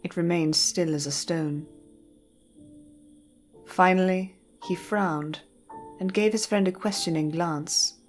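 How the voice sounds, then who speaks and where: A woman narrating a scripted story in a measured, literary storytelling manner. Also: on the low side, steady tone, soft background music.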